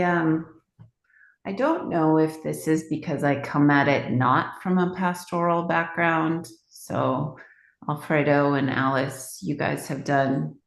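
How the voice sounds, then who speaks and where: A woman with a very low, gravelly voice muses aloud, exploring an open question for the group. Her voice rings in a roomy echo. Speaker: peer group member without pastoral background. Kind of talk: group discussion.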